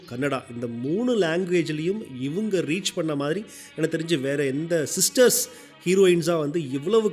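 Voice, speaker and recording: A man's voice: on the high side, a little mellow. A man presenting a film song radio show in a conversational way, mixing English words into his speech.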